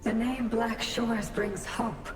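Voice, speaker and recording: A woman playing an earnest, sorrowful shadow of a woman in a video game, speaking in a solemn, measured way. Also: on the high side. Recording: roomy echo, music behind.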